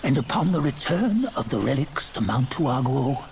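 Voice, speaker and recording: An actor playing a weary old sage, telling a legend slowly and gravely in a fantasy game. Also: male voice, mid-range voice, very animated, noisy background. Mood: weary, hopeful. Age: older. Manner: slow, measured, grave. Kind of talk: solemn scripted storytelling in a fantasy game.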